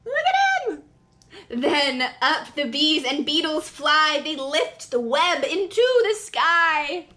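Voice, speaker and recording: A woman reading a rhyming children's storybook aloud as a preschool teacher, with dramatic, expressive, singsong delivery. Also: high voice, slow pace, soft background music.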